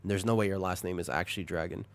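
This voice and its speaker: A man streaming a video game, chatting with viewers, reacting with excited high bursts and then dropping into quiet asides. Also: fast, dry recording. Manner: animated, jumps into high excited bursts while reading chat; drops to low, quiet asides while setting up. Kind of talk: live-stream chat while gaming.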